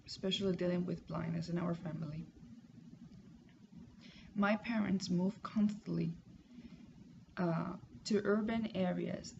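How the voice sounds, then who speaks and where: Gravelly-voiced woman reading. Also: on the low side. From Puerto Rico. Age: 30s. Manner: careful, measured reading with pauses. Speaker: student. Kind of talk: reading a class assignment aloud. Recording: lo-fi home recording with noise.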